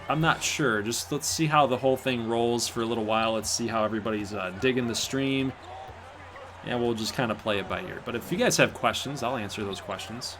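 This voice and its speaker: A man from Michigan with a Michigan accent and a somewhat nasal voice, hosting a live stream of a simulated basketball game. He chats with viewers and jokes around, and gets excited at big plays. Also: medium pitch, slight background noise. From Michigan, USA. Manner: chatty and joking, talks to the live chat, bursts of excitement at big plays. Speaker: stream host and game commentator.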